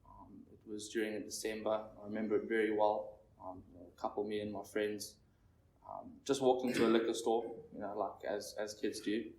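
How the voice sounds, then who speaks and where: A nervous young man in his twenties from Zimbabwe, sharing his recovery story at a meeting in a halting, rambling way. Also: lowish voice, flat delivery, creaky, darkish tone. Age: 20s. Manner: halting, rambling, heavy on fillers. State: nervous, shaky. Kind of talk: personal recovery share. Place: meeting room with an audience. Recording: echoey room, distant mic, faint noise.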